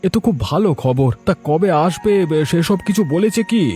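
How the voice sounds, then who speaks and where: A man's voice: sits high, animated, moderate pace, warm tone, soft background music. A man voicing a mild, agreeable village husband in a cartoon. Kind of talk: Bengali cartoon voice acting.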